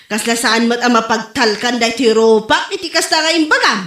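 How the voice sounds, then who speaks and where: Frank village woman, played by an actress: high voice, Ilocano accent, comic drama delivery, echoey room. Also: animated, brisk, a bit bright. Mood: frank, amused. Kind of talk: Ilocano comedy drama acting. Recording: roomy echo.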